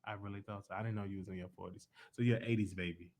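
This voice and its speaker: A young adult man co-hosting a casual podcast chat, animated and quick to jump in with exclamations. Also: lowish voice. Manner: animated, interjecting with frequent exclamations. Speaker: co-host.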